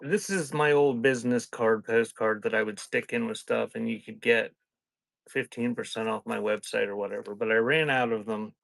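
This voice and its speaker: A man with a medium-pitched voice hosts a casual live selling stream. He talks in a loose, scattered way, thinking aloud as he goes. The sound is dry and close, with very little room in it. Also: fairly even intonation, moderate pace, creaky, dry recording, rough recording. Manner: rambling, scattered, thinking out loud. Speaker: live-stream seller hosting a sale and unboxing.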